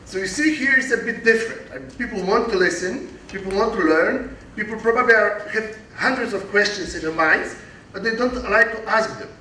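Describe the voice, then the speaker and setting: High bright-voiced man asking from the audience. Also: slight background noise. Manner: halting, searching for words. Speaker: audience member asking a question. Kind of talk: long spoken question at a talk. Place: lecture hall. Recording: echoey hall from far off.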